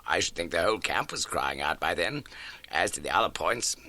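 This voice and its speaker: A man voicing a steady, earnest gentleman companion to a detective in an audiobook, reasoning in a measured way. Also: deep voice. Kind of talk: audiobook character dialogue.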